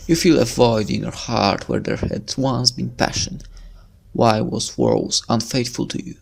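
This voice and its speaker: A man's voice: medium pitch, wildly swooping pitch, a little slow, vocal fry, slightly rough. A man reading a visual novel aloud as narration, breaking into casual commentary as a let's player.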